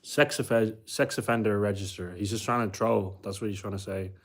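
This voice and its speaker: A man's voice: on the low side, fairly even intonation, moderate pace. A young man in his twenties from the UK, chatting casually into a phone on a livestream at home, rambling and answering viewers' comments. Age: 20s. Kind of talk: casual live-stream chat. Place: home indoors.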